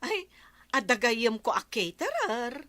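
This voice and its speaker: A bright, forceful and high-pitched village woman with a Filipino Ilocano accent. She is bossy and expressive, nagging her household in radio comedy-drama fashion. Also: a little slow, full character voice. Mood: teasing, nosy.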